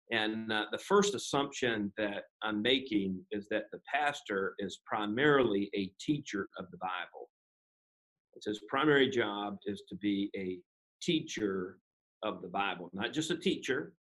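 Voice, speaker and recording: Male pastor, interview guest, mid-range voice, methodical and unhurried, pauses with ums, thinks out loud. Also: medium pitch, low-quality recording. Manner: measured, methodical, frequent "uh" and "um" pauses; self-deprecating humor. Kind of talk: unscripted interview explanation.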